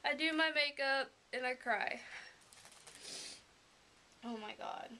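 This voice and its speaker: A young woman with a regional American accent, gushing softly and emotionally as she unboxes a gift in a small room at home, filmed on a webcam. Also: high-pitched, slow. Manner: gushing, emotional, soft-spoken and rambling. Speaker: vlogger. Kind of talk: casual unboxing vlog.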